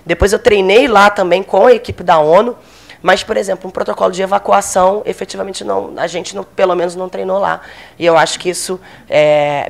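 A woman in her thirties from Brazil, a military officer giving a conversational lecture into a handheld microphone in a small room, with frequent fillers. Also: on the low side. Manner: conversational, many fillers, gestures while speaking. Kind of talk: informal lecture. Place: small meeting room, indoors.

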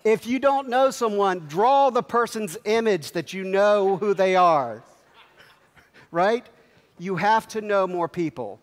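A middle-aged man with a headset mic, giving an energetic motivational speech on a large convention stage and firing questions at the audience. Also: sits high, slow. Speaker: company executive speaking at a convention. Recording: long hall reverb.

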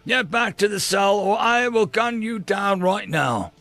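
A man voicing an agitated male rebel guard in a story, with a sharp, defensive, barking manner. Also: medium pitch, moderate pace, vocal fry, breathy edge, dry recording, pitched above the performer's own voice. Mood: angry, threatening.

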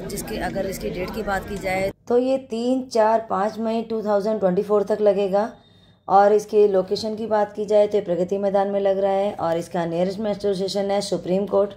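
A woman's voice: medium pitch, dark tone. A woman in her thirties hosting a vlog, chatty and promotional, asking short questions in a busy exhibition hall. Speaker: vlogger and interviewer. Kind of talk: vlog intro and interview. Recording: crowd noise in a busy hall. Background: crowd chatter.

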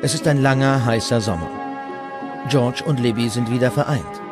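A man's voice: medium pitch, normal speed. A man reading scripted documentary narration as the narrator. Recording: music bed behind the voice. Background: music.

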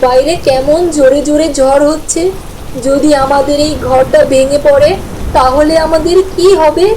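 A woman's voice: high voice, fairly even intonation, normal speed, darkish tone, a little hiss or hum, lightly acted, low-quality recording. A woman voicing a frightened, affectionate little village girl in a cartoon, pleading in a childlike way. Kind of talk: cartoon voice acting.

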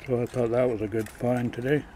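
A man showing his collector's finds in a casual, matter-of-fact way, pausing as he handles each piece, recorded on a camera mic. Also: mid-range voice, little pitch movement, warm tone, slight background noise. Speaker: toy collector showing flea market finds. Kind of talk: casual show-and-tell narration.

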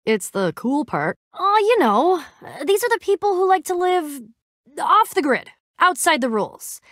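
A woman voicing a brash, excitable young female adventurer in a video game, slangy and a bit awkward as she tries to sound cool. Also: high voice, dry recording, clean studio sound. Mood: starstruck. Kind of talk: animated game dialogue acting.

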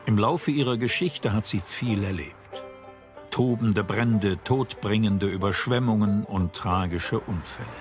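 A man reading scripted documentary narration in a measured, dramatic storytelling manner. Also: on the low side. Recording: music bed behind voice. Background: background music.

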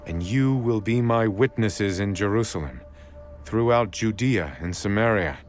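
An actor playing a solemn, authoritative male teacher in a measured audio Bible drama. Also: lowish voice, expressive. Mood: solemn, commanding. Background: music.

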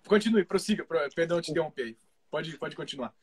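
Polite male radio host, high voice. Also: lively intonation, fast talker, crowd noise. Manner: affirming, polite, explanatory. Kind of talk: live interview over a video stream.

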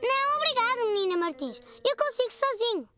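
A woman voicing an excitable four-year-old boy in a cartoon dub, with a European Portuguese accent. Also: a little fast, soft background music, heavily acted. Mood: proud. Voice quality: high, eager and bright. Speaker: child character in a dub. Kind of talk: cartoon dubbing.

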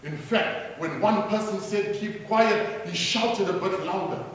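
A middle-aged South African man preaching a sermon from a church stage, rising to loud calls and prompting the congregation to answer. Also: sits high, unhurried, slight background noise. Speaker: pastor. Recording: echoey hall from far off.

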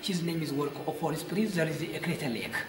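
An Ethiopian man, a church guide, explaining paintings in halting broken English on a visitor's camcorder. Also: a little high, sing-song. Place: inside a small painted church. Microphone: visitor's handheld camcorder. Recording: echoey church room with loud music behind.

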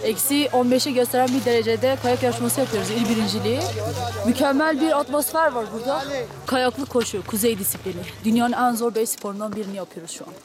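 A man, a ski coach, proudly talking up his team to a reporter outdoors at a race in freezing cold. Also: very high-pitched. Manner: proud, brisk, talks up the team. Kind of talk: street interview. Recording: outdoor crowd chatter behind.

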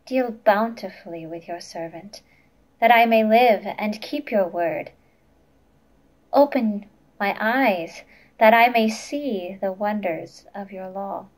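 A woman's voice: mid-range voice, slow pace, poor audio. A woman in her twenties reading scripture aloud as a lay reader, carefully and plainly.

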